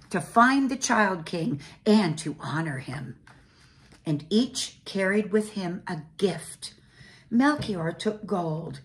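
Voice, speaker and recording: A woman reading a storybook aloud to children as a church storyteller, with deliberate, dramatic phrasing and pauses. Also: lowish voice, a bit bright, slight background noise.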